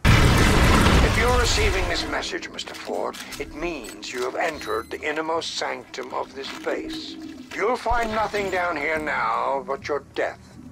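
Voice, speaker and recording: An actor playing the scheming, menacing leader of a secret society, speaking with condescension. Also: male voice, a little high, sing-song, unhurried, creaky, full character voice. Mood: cold.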